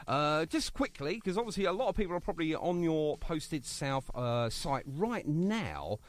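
A man hosting a casual radio interview, chatty and quick with jokey asides. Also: a little high, big pitch swings. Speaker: radio show host.

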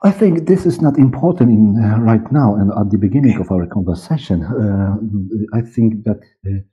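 A middle-aged man from Poland with a Polish accent, answering interview questions in halting English, repeating words as he searches. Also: lowish voice, animated, dark tone, slightly rough. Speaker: interview guest, audio director and actor. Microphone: headset or desk mic on video call. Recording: muffled, band-limited video call audio.

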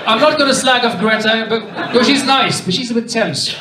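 A man's voice: very high-pitched, moderate pace, background noise. A man in his thirties from Sweden with a Swedish accent, doing a self-deprecating stand-up set on a club stage. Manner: self-deprecating, playful, animated impressions. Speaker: stand-up comedian. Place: comedy club stage before a live audience. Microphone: handheld mic. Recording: roomy club sound from far off, loud crowd laughter.

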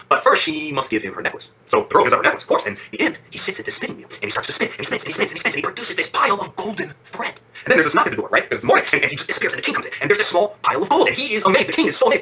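A man playing an affectionate father who improvises a bedtime fairy tale in an informal, conversational way, checking in with his listener, his voice husky. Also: mid-range voice, pushed and bright, slight background noise. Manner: conversational, peppered with check-in questions. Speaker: storyteller. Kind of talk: animated, informal bedtime storytelling.

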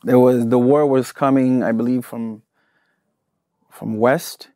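A man in his thirties from Angola, whose first language is Portuguese, tells his story with lively gestures. He speaks at a middling pitch. The sound is close and dry, recorded in a studio. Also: takes it slowly, dry recording. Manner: animated storytelling with hand gestures, shifting energy. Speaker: guest artist. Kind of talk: casual interview.

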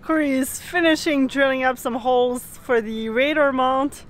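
A woman's voice: high-pitched, steady tone, moderate pace. Chatty woman vlogging outdoors in a boatyard. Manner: chatty, explaining boat work as she goes. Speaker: sailing vlogger. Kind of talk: casual vlog narration. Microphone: camera mic.

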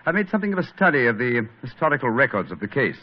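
An actor playing a brilliant, self-assured detective, lecturing in 1940s radio acting. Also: male voice, mid-range voice, sing-song, rapid-fire. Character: brilliant, brisk English detective, male, middle-aged. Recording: muffled 1940s radio broadcast.

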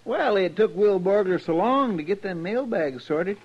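A folksy, chatty frontier sidekick. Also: male voice, high-pitched, lively intonation, normal speed, a little hiss or hum. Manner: folksy twang, rural grammar. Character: folksy young male frontier deputy, eager and talkative. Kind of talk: 1950s radio drama acting. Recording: dull vintage radio transcription.

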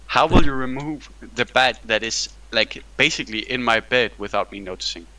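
A man's voice: mid-range voice, moderate pace, pushed and bright, low-quality recording. A man co-hosting a gaming channel, chatting casually and bluntly, teasing his friend and laughing often. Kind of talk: casual chat while playing.

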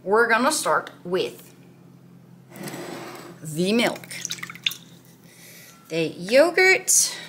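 A woman's voice: mid-range voice, big pitch swings, extremely slow, pauses often, fairly bright tone, slight background noise. A woman in her thirties casually walking through a recipe in her home kitchen, chatty and upbeat with lots of "like". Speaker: weight-loss cooking vlogger.

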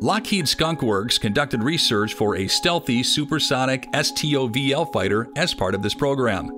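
Man, scripted documentary narration, mid-pitched voice, even measured delivery, music behind.

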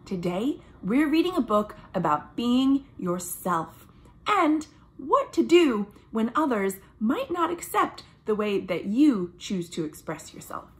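Slow, animated woman reading to children. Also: on the high side. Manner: expressive, deliberate delivery for young children. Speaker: children's librarian and storytime host. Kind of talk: children's picture-book read-aloud. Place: small room at home, indoors.

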